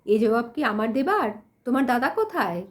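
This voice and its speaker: A woman's voice: a little high, sing-song, moderate pace, voice pushed up. A woman voicing a stern, haughty male landlord who talks curtly and commandingly in an audio storybook. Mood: curt, challenging. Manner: loud, scolding, commanding. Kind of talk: acted dialogue in audio storybook.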